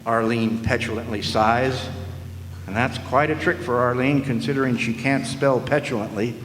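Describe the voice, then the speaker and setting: A man's voice: medium pitch, fairly even intonation, normal speed, a bit bright, lightly acted. An actor in a live radio play playing a wisecracking hardboiled male private eye who narrates in a dry, sardonic way. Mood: wry. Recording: echoey hall with faint noise.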